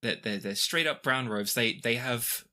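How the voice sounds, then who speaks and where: A man's voice: mid-range voice, moderate pace, pushed and bright. A man acting as game master, narrating scenes and talking casually through the rules of a tabletop game.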